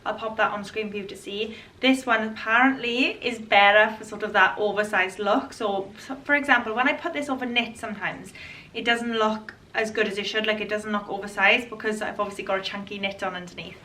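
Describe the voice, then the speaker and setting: A woman in her twenties, a fashion vlogger chatting to camera in a casual, enthusiastic way, her voice a little hoarse from a cold. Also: mid-range voice, fairly even intonation, fairly quick, sharp tone, distant mic, a little hiss or hum. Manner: chatty, enthusiastic, restarts and repeats words. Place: bright room indoors.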